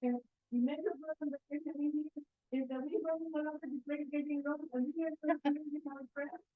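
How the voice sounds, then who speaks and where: A woman's voice: high voice, steady tone, moderate pace. A woman from the audience making a long, earnest, rambling comment. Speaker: audience member asking a question. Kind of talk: impassioned spontaneous comment. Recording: tinny phone-like sound.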